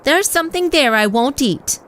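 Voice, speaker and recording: A woman as a high, fussy lady troll. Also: animated, moderate pace, fairly bright tone. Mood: picky, prim. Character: fussy female troll, refined, picky eater. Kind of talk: fan audio drama acting.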